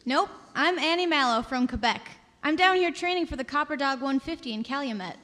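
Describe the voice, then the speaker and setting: A woman's voice: high-pitched, lively intonation, a little fast, fairly bright tone. A woman acting a cheerful, friendly sled-dog musher from Quebec in an old-time radio sketch, on a reverberant theatre stage. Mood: cheerful, helpful.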